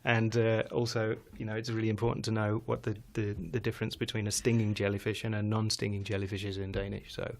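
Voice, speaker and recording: A man's voice: lowish voice, monotone, fast, few pauses. A man speaking as a guest on a live show, chatting casually, often restarting and trailing off, laughing now and then. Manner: rambling, self-correcting, laughs often. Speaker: guest musician. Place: home studio in Denmark.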